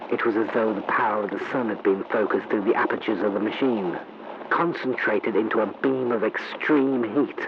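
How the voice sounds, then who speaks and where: An actor playing a grave, shaken male war reporter giving a solemn, formal eyewitness account in a 1930s radio broadcast style. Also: lowish voice. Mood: awed, haunted. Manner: solemn, deliberate, formal narration. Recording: noisy, muffled vintage radio recording.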